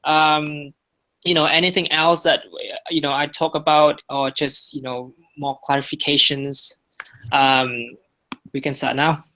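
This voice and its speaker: A man's voice: a little high, level tone, a little slow, bright, forceful tone, rough recording. A young man, a student presenting his project to a class and stopping often to restart his sentences. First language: Vietnamese. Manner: hesitant, many restarts and fillers. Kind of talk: talk to a class with questions after.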